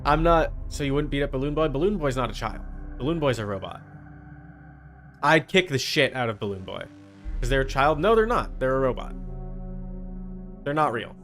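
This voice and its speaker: A man's voice: mid-range voice, sing-song, normal speed, long pauses, fairly bright tone, soft background music. A man chatting casually as he streams a game, with a blunt, sweary, argumentative way of talking. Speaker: livestream host. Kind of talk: casual gaming stream chat while playing a horror game.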